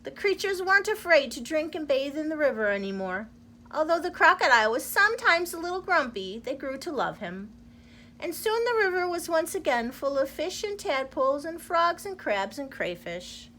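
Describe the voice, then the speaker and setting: A woman reading a children's storybook aloud in an expressive, deliberate storyteller's manner. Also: sits high, a little hiss or hum.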